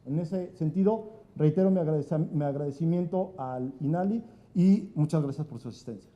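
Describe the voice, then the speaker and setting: A man's voice: fairly high pitch, moderate pace, mellow, highs cut off, slight background noise. A man giving formal, solemn official remarks that close with thanks at a signing ceremony.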